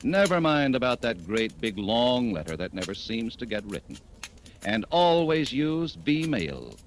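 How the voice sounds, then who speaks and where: A man's voice: mid-range voice, big pitch swings, measured pace. A man with an American accent announcing 1940s radio advertisements in a polished, emphatic sales delivery. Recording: noisy old 1940s radio recording.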